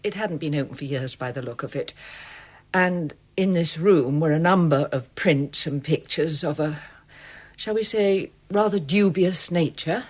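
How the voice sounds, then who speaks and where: An actress playing an earnest, proper gallery assistant in a period radio drama, speaking precisely with some hesitancy. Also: female voice, low voice, a little slow. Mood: measured, confiding. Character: earnest female wartime gallery assistant giving testimony.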